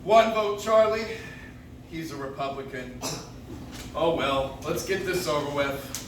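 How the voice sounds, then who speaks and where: A man's voice: a little high, measured pace, lightly acted. A man playing a flustered, grandfatherly judge in a radio play, hesitant and blustering but formal. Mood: worried, flustered. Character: flustered grandfatherly judge, weary, evasive, dignified. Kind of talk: old-time radio play acting. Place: coffee shop stage, recorded from the audience. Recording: noisy, very echoey live room, distant mic.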